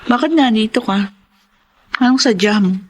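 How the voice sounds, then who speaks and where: A woman's voice: on the high side, lively intonation, normal speed. A Filipino woman acting as a pregnant wife and responsible older sister in a radio drama. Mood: firm, reproachful.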